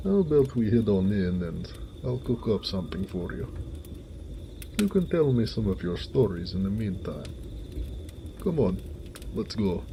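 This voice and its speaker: A man playing a gentle, affectionate orc blacksmith in a romantic audio roleplay, speaking tenderly with some teasing. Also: on the low side, sing-song, measured pace, long pauses, background noise. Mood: hospitable, caring.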